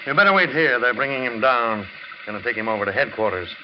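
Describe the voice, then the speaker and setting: A man plays a no-nonsense police lieutenant in a 1940s radio drama. His pitch moves around a lot from a middle register. The recording is muffled, with clear noise. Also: animated, fast talker, natural voice. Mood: businesslike. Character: businesslike, brusque male police lieutenant.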